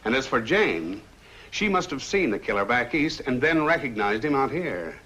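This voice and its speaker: Unruffled noir private detective. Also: male voice, medium pitch, very animated, sharp tone. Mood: dry, assured. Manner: measured, dry, wry questioning. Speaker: film actor. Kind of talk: 1950s film noir acting. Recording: noisy old film soundtrack.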